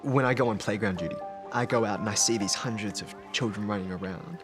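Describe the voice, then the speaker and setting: Brief: man, teacher, reflective documentary interview, soft music underneath. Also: lowish voice, quick pace. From Australia. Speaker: maths teacher. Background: background music.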